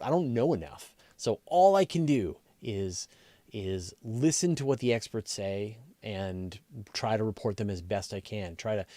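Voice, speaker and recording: Low-voiced man hosting a casual Q&A. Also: a little mellow, dry recording. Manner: conversational explainer, thinks aloud, rephrases often. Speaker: host of a live astronomy Q&A, science journalist. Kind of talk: casual live Q&A, reading viewer questions and answering off the cuff.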